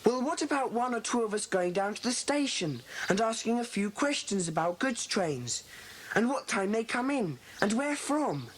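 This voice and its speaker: A woman playing a bossy, earnest schoolboy who leads a children's club, brisk and commanding in an audiobook dramatisation. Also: fairly high pitch, sing-song. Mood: earnest, decisive. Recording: hissy old cassette dramatisation.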